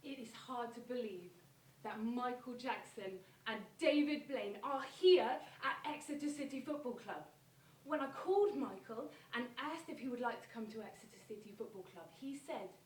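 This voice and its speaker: A woman's voice: a little high, moderate pace, lightly acted. A woman playing an earnest, grandiose promoter who boasts of a famous friend, in a stage monologue. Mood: proud. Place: theatre performance space, recorded from far off. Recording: distant, echoey, noisy stage recording.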